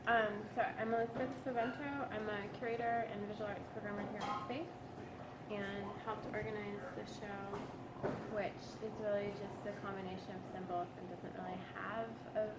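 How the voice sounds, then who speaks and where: A woman's voice: medium pitch, level tone, normal speed. Easygoing woman curator in echoey gallery. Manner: easygoing, uses "like" often. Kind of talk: casual interview talk. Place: art gallery indoors. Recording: echoey gallery from a distance with loud noise.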